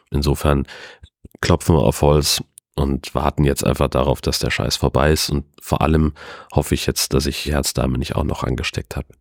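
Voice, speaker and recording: Very deep-voiced man, chatty podcaster. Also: vocal fry, a little mellow, dry recording, clean studio sound. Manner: chatty, rambling storytelling with wry asides. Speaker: podcast host. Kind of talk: casual solo podcast monologue. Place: at home.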